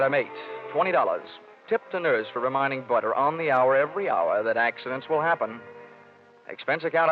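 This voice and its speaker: A muffled vintage radio recording of a man acting a hard-boiled American insurance investigator. His pitch moves freely as he delivers punchy, wisecracking lines over dramatic music. He is sardonic and tough. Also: close to an everyday voice. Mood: dry, wry.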